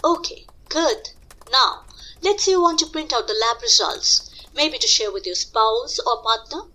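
An actress playing a polite, encouraging young professional who guides someone through a software test. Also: female voice, sits high, expressive, measured pace, heavily acted. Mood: patient, guiding. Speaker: user test moderator. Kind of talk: audio drama dialogue.

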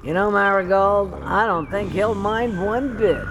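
A woman voicing a calm, decisive good fairy who chants spells in rhyme. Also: mid-range voice, unhurried, muffled recording, heavy background noise, lightly acted. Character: calm, wise leading good fairy Hazel. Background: music.

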